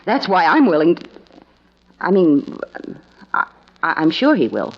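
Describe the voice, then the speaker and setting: An actress playing a frightened young wife who pleads to be let go, in 1940s radio acting style. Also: female voice, on the low side, very animated, a little slow, full character voice. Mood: earnest. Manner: pleading, breathless. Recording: dull lo-fi old radio recording.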